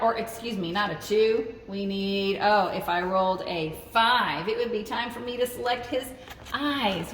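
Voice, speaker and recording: A woman's voice: mid-range voice, fairly bright tone, a little hiss or hum. A woman talks young children through a simple craft game at a leisurely pace. She thinks aloud in a sing-song storytime manner. The recording is echoey and distant from the mic, with long room reverb. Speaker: children's librarian hosting storytime.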